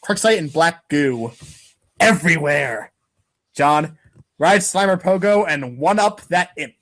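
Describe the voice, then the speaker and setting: A man's voice: fairly high pitch, lively intonation, takes it slowly, a bit bright. A man giving a playful, theatrical, exclamatory reading of a comic as its narrator. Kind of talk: playful dramatic reading of a webcomic.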